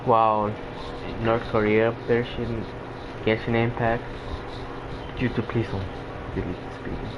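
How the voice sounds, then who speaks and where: A man's voice: on the low side, level tone, unhurried, pauses often. A man giving casual, offhand, slangy reactions as he comments on gameplay. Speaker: gaming video creator. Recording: dull lo-fi mic, noisy background.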